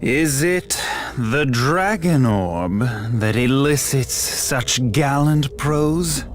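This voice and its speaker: A man's voice: medium pitch, lively intonation, drawn-out words, a little hiss or hum. A man playing a megalomaniac self-proclaimed god in a fantasy game, with pompous, florid, theatrical delivery and lovesick obsession. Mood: mocking, lovesick. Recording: voice over music.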